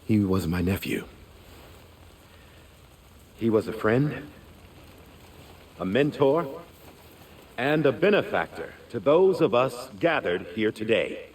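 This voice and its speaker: An actor playing a grieving, dignified uncle in a video game, delivering a measured, heartfelt eulogy. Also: male voice, on the low side, sing-song, darkish tone, exaggerated voice. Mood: mournful, proud. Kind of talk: video game cinematic acting. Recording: faint rain and background noise.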